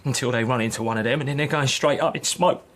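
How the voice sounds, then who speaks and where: A man acting a brisk, blunt soldier in a period radio drama, shaken by war but practical, with terse, plain-spoken, bossy delivery. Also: medium pitch, a bit bright, slight background noise, lightly acted. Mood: shaken, bleak.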